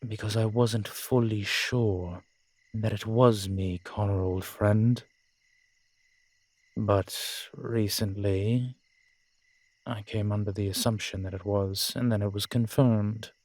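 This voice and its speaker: Man playing slow, grave, formal old vampire. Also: fairly low pitch, steady tone, darkish tone, no echo. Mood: measured. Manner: slow, formal, courtly diction. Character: ancient proud male vampire, formal and grave. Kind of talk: dramatic audio roleplay acting.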